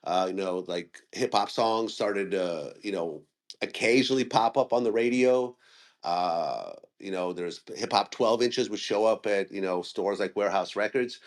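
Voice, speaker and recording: A man's voice: on the low side, normal speed. A man in his forties from Ukraine who grew up in Northern California, talking casually with frequent restarts and fillers. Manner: rambling, with many restarts and fillers. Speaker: guest interviewer and media host. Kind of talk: casual audio chat room conversation.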